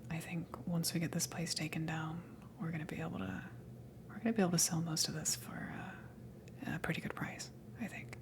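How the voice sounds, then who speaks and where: A woman playing a friendly, awkward alien salvage worker in a whispered ASMR roleplay, rambling casually in a soft, breathy, halting way. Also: sits low, little pitch movement, noisy background. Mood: casual, hesitant. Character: friendly big-headed, tailed alien salvage crew member, awkward, easygoing.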